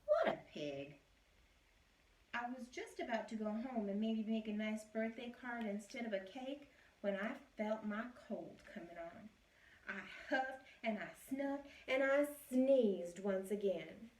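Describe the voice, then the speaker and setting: A woman's voice: on the high side, expressive, unhurried, darkish tone, a little hiss or hum. A woman reading as a wronged wolf. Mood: aggrieved, chatty. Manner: chatty, wry, self-justifying storytelling. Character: self-pitying male wolf telling his side. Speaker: teacher reading aloud. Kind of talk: storybook read-aloud. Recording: roomy echo, distant mic.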